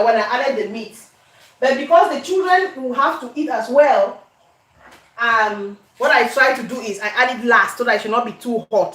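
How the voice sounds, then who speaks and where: High-pitched Cameroonian woman, echoey room. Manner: animated, conversational, repeats questions and phrases. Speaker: cooking vlogger. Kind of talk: casual live chat while cooking. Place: indoor home kitchen.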